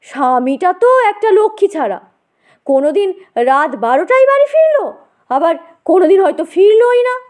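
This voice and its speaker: Woman playing an eager, high-pitched gossipy woman. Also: big pitch swings, moderate pace, a little mellow. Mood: confiding. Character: gossipy, eager woman recounting her aunt's hardship.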